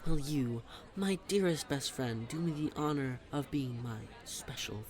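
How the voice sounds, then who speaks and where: A man playing a smitten young best friend in a roleplay audio, talking to the listener in a halting, playful, nervous way. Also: mid-range voice, darkish tone, lightly acted. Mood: giddy, teasing. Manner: halting, giggly, playful, addressing the listener directly. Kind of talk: scripted roleplay audio, intimate conversational acting. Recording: public place with crowd murmur.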